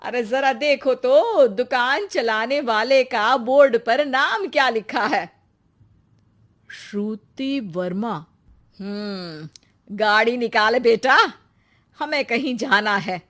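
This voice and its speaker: A woman voicing a shrewd, calm older mother-in-law in a cartoon story, speaking with deliberate authority. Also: on the high side. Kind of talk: Hindi cartoon story dialogue.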